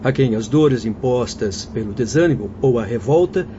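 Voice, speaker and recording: An actor playing a courteous, earnest doctor and spirit guide in a radio drama, with formal declamatory delivery. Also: male voice, medium pitch, expressive, moderate pace, natural voice. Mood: earnest, grave. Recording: dull lo-fi audio, clear background noise.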